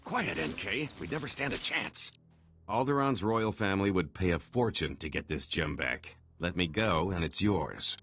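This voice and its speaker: A slippery, boastful wheeler-dealer on the run from a video game. His voice is deep and husky, and it swings widely as he pours on theatrical, wheedling patter like a born salesman. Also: animated, normal speed, fairly bright tone, full character voice. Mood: smooth, bargaining. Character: slippery middle-aged male con-artist fugitive, boastful wheeler-dealer.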